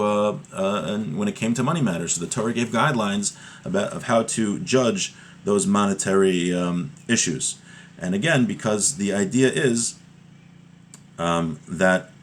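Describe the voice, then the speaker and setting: Male religious teacher, lowish voice, explanatory and informal, working through a text, faint background noise. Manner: explanatory, checks with "right?", repeats key phrases. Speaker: religious teacher giving a class.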